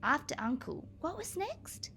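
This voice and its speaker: Slow-paced woman reading aloud to children. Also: high voice, a little hiss or hum. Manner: clear, expressive, addressing young children. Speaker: primary school teacher reading aloud. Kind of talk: children's read-aloud with explanatory asides.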